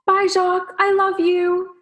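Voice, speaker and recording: A woman's voice: very high voice, monotone, measured pace, fairly bright tone. A young woman playing a shy, anxious, lovelorn fish in a radio play, talking in a rambling, self-conscious way. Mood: anxious, pleading. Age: young adult.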